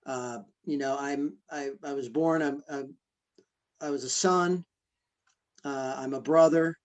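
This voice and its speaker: A man answering interview questions in a halting, reflective way, with many fillers and restarts. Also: medium pitch, fairly even intonation, highs cut off. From United States. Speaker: guest, ultrarunner. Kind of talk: casual interview conversation.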